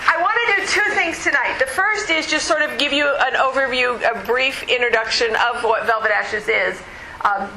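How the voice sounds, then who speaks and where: A woman presenting at a conference in a loud, chatty way, moving around as she speaks. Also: fairly high pitch, slight background noise. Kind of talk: casual conference talk. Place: conference meeting room. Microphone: room microphone, not close. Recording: echoey room recording.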